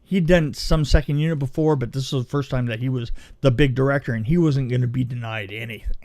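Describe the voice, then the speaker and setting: A man telling a story casually on a podcast, in a halting, rambling way that often restarts mid-sentence. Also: medium pitch, a little mellow, no room sound. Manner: halting, rambling, self-interrupting delivery. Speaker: film special effects technician telling a story.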